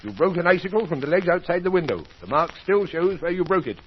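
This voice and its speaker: A man's voice: high-pitched, lively intonation, quick pace. A man playing a shrewd, grave doctor who investigates a crime in an old-time radio mystery. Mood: accusing. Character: shrewd doctor sleuth, grave, certain, accusing. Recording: muffled, noisy old radio recording.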